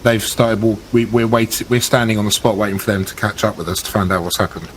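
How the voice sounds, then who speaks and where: Man, low voice, halting, casual game-table chat, faint background noise. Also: fairly even intonation. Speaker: tabletop roleplay player.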